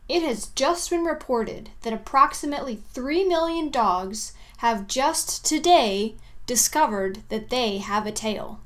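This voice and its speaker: A woman co-hosting a casual show, wry and teasing, also reading mock news. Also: a little high. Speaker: co-host.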